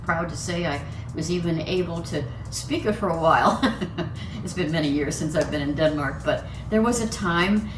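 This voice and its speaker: A woman's voice: on the low side, moderate pace, reverberant, distant mic, over music, rough recording. An older woman from the United States, giving a chatty, personal lecture at a symposium and laughing between her points. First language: English. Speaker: conference speaker. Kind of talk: lecture talk.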